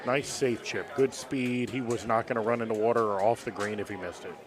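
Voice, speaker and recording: A man with a deep voice giving laid-back color commentary from an announcer's booth. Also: steady tone, fairly quick. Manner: laid-back, explains technique. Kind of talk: live esports commentary. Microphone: booth microphone. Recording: busy event with crowd noise. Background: crowd chatter.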